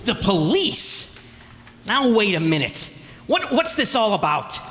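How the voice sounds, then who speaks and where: Man playing high-voiced desperate cornered suspect. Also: big pitch swings, slow pace, fairly bright tone, heavily acted. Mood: indignant, baffled. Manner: agitated, defensive. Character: desperate, defiant cornered male murder suspect, broke and indignant. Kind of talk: old-time radio drama acting. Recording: echoey hall stage recording.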